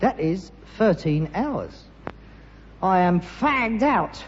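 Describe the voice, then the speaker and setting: A put-upon London rag-and-bone man with pretentious airs. His high voice swings widely with theatrical exasperation, on a noisy old British radio comedy recording with an audience. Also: sing-song, very slow, big-room sound, exaggerated voice. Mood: weary exasperation. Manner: theatrical, sarcastic, self-consciously grandiose. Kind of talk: 1960s British radio sitcom acting. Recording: dull noisy archive recording, studio audience.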